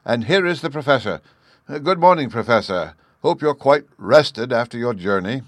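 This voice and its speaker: A man voicing a kindly, jolly father who chats loudly and fondly in a storybook reading. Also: mid-range voice, animated, moderate pace, no room sound, clean studio sound, close to an everyday voice. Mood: chatty. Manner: hearty, loud, fond.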